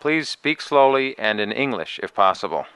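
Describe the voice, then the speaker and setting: A man narrates short prompts for a language lesson in a plain, even manner. His voice is bright and clear. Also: fairly low pitch, normal speed.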